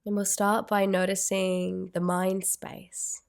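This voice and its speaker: A woman leading a guided meditation in a soothing, unhurried way, with gentle phrasing and long pauses. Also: mid-range voice, flat delivery, vocal fry.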